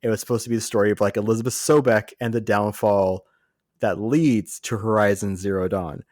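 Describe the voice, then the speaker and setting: A man's voice: fairly low pitch, fairly even intonation, brisk, a little mellow, dry recording, clean studio sound. A man co-hosting a podcast, chatting casually about entertainment in a jokey, exclaiming storyteller's way. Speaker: podcast co-host. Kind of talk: casual film and TV news chat.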